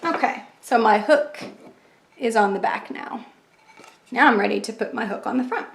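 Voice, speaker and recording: A woman's voice: on the high side, normal speed, vocal fry, slight background noise. A woman hosting a craft tutorial, chatty and step by step, fighting a cold and losing her voice. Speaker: craft channel host. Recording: echoey room, faint kitchen clatter.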